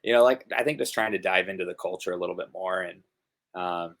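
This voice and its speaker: A young man with a Northern US accent, a hockey player chatting casually on a video call, relaxed and rambling with many fillers. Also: quick pace. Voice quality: gravelly low voice. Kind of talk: casual interview chat. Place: home room, video call.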